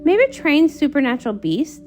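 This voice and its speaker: A woman's voice: high voice, big pitch swings, moderate pace, darkish tone, a little hiss or hum. A woman voicing a learned, ambitious old male unicorn wizard in a comic read-along, with a grand, reassuring manner.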